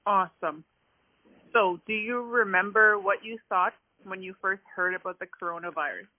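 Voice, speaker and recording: A woman's voice: mid-range voice, moderate pace, no echo. A woman interviewing someone by phone, friendly and informal with a lot of fillers. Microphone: telephone. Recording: tinny phone line.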